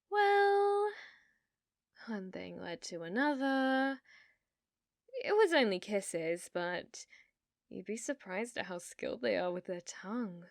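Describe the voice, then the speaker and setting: A young British girlfriend, acted by a woman in an intimate ASMR roleplay. She speaks softly and unhurriedly at a medium pitch, coy and sweetly manipulative as she teases. The sound is close and very dry. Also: no room sound. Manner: coy, soft-spoken, teasing with feigned innocence.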